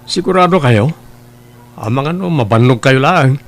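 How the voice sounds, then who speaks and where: Lalaki nga agak-akto kas nagaraw ti boses a barito. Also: male voice, medium pitch, expressive, normal speed, close to an everyday voice. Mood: pleased, mild. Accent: Ilocano. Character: grown son, dutiful farmer in love. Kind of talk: Ilocano radio-style drama acting.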